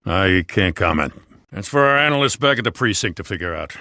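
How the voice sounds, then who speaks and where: Forceful, low-voiced police lieutenant. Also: male voice, expressive, fairly quick, no room sound, clean studio sound, heavily acted. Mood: businesslike, firm. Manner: businesslike, firm. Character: brisk, matter-of-fact male police lieutenant on duty. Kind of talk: naturalistic video game acting.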